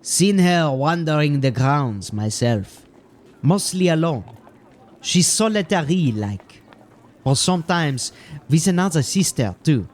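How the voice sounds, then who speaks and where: A male actor plays a town gatekeeper from a medieval audio drama. He takes his time over every phrase in a fairly high male voice. His manner is plain, folksy and deferential, as if thinking aloud. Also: expressive, slow, a little mellow. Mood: musing. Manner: folksy, musing, colloquial turns of phrase.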